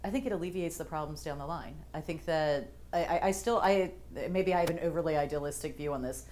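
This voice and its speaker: A woman with a lowish voice offers her view at a seminar, hedging as she goes and leaning on fillers. Her delivery is thoughtful and unhurried. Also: a little mellow. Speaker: co-presenter at a seminar. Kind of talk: seminar talk.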